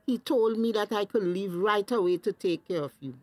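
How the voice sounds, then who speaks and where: A woman's voice: medium pitch, normal speed, no room sound, lightly acted. An actress with a Tobagonian accent playing an anxious, fussing middle-aged mother in a radio drama.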